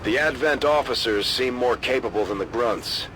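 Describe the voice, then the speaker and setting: An actor playing a stern, businesslike military commander giving measured, authoritative mission briefings in a video game. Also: male voice, medium pitch, background noise, natural voice. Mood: serious, resolute.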